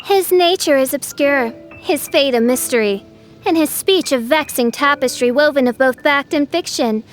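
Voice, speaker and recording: A woman's voice: sits high, normal speed, faint music behind, lightly acted. A woman voicing a grandiose, theatrical teenage girl who styles herself a princess, speaking in pompous archaic phrases as a video game character. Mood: haughty, mysterious. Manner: pompous, archaic, dramatic royal speech.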